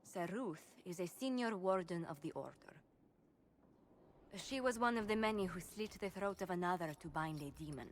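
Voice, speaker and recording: An actress playing a formal advisor in a video game, presenting cases in a measured, dry way. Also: female voice, lowish voice, expressive, a little hiss or hum, natural voice.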